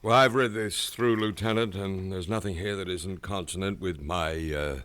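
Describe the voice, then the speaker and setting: An actor playing a cool, educated middle-aged professor in a radio drama, speaking formally and precisely with some hesitation. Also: male voice, sits low, steady tone, normal speed, fairly bright tone. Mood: formal, composed. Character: cool, collected, educated professor, impatient to confess.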